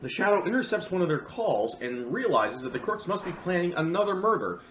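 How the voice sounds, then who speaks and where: A man's voice: high-pitched, sing-song, a little fast, fairly bright tone, a little hiss or hum. A man hosting a comedic scripted film review, speaking in a sarcastic, mock-dramatic way. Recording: roomy echo with music underneath.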